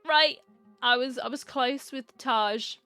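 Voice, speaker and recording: A woman's voice: high-pitched, slow, pushed and bright, clear, resonant tone, dry recording. A woman giving casual, wry commentary and reactions as she plays through a game. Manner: chatty, wry. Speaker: game streamer. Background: game music.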